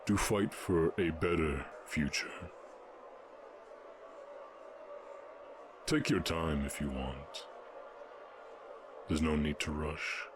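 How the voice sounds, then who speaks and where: A man voicing a stern, imposing orc warchief in a fantasy audio roleplay, speaking in deliberate, imperious proclamations. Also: very deep voice, noisy background. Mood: calm, persuasive.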